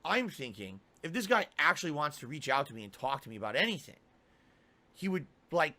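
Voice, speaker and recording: Male streamer, higher voice, sharp tone, rambling animated storytelling. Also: fairly quick. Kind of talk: clip from a livestream.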